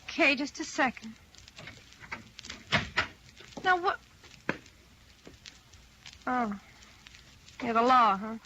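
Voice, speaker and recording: A woman's voice: high-pitched, animated, slow pace, pushed and bright, dull audio, full character voice. A woman playing a brassy, wisecracking gangster's girlfriend in an old radio crime drama, flippant and slangy. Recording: noisy, band-limited old radio recording.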